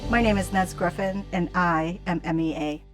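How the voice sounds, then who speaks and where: A woman teacher speaks a short sponsor testimonial over clear background music. Her voice sits a bit low, and she delivers her lines in an earnest, plain first-person way. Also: normal speed. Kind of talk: spoken testimonial. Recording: music bed under the voice.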